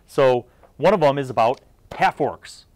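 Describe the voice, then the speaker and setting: A man's voice: medium pitch, lively intonation, measured pace, a little mellow, no room sound. A middle-aged man giving a casual, unscripted gaming review in a rambling, opinionated, conversational way. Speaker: host of a tabletop gaming review series.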